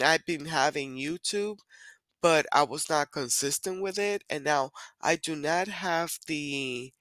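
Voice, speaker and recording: A woman hosting her own podcast in casual, rambling solo chat, her voice deep and rough from the morning, breaking into excited squeals now and then. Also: dry recording. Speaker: podcast host.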